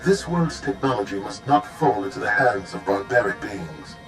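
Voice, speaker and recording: An actor playing a cold, condescending AI caretaker in a video game, speaking in a formal, measured, haughty way. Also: male voice, on the low side, big pitch swings, low-quality recording. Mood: stern contempt. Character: cold, condescending male artificial intelligence caretaker of a world. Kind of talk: video game cutscene acting. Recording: music behind the voice.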